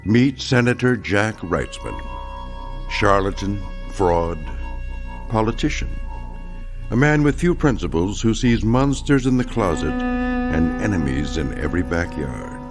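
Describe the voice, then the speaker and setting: A low-voiced, solemn male narrator delivers a radio drama introduction with sweeping, sardonic emphasis. His phrasing is measured and dramatic, over prominent music. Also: expressive. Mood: ominous, sardonic. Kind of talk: anthology series opening narration, radio drama.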